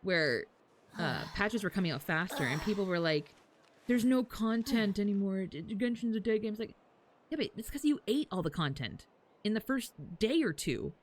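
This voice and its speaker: Animated woman streamer chatting casually. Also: medium pitch, moderate pace, a little hiss or hum. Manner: animated, swears casually, chuckles while talking. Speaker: game livestreamer. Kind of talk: casual chat with stream viewers. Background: faint game music and character voice lines.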